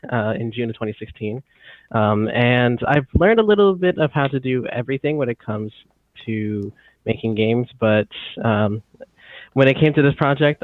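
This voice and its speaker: A man's voice: mid-range voice, dry recording. A man in his twenties, a game audio designer, calling in to an interview and talking in a slightly nervous way. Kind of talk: casual interview. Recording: tinny phone line, dry.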